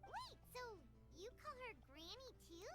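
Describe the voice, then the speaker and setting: Casting: a childlike fairy companion for a game, squeaky and exclamatory, very high with animated swings. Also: female voice, unhurried, full character voice. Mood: curious. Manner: squeaky, exclamatory, speaks of herself in third person. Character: small childlike female fairy companion, chirpy and curious. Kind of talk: video game voice acting. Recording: loud game music behind.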